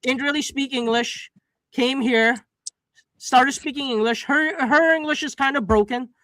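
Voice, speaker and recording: A man bantering casually on a livestream panel, loud, combative and full of swearing. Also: very high voice, a little slow, no room sound, low-quality recording. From born in South Asia, moved to Canada at nine.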